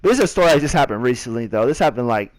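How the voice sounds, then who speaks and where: A young man telling a story casually over gameplay, in a slangy, animated way with repeats and quotes of himself. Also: medium pitch, very fast, no echo. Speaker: gaming video creator.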